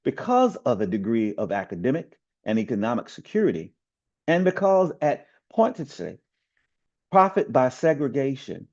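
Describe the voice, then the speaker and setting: A man from the community reciting a written speech with oratorical emphasis, drawn-out words and falling phrase endings, in a dull, slightly lo-fi recording. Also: medium pitch, big pitch swings, a little mellow. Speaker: community member reading aloud.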